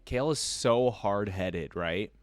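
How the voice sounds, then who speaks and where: A man with a low, smooth voice in a dry, close recording. He gives chatty fan commentary as a reaction host, informal and enthusiastic, wandering into asides. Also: lively intonation, slow pace, no room sound.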